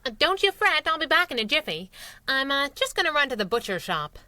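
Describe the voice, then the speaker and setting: An actress playing a sassy, affectionately tough crime-fighting sidekick in a 1930s-style radio drama, teasing and slangy. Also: female voice, sits high, big pitch swings, fairly quick, sharp tone, no echo, heavily acted. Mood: playful, evasive.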